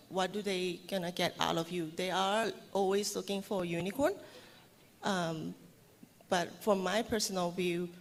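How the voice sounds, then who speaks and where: Woman, panelist, casual, lots of fillers, long hall reverb. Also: mid-range voice. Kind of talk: panel discussion answer.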